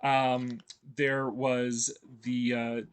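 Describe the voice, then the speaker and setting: Male video host, mid pitch, loose unscripted delivery full of fillers and restarts. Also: flat delivery, extremely slow, clear, resonant tone. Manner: rambling, heavy on fillers and restarts; chuckles often. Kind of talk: casual enthusiastic commentary.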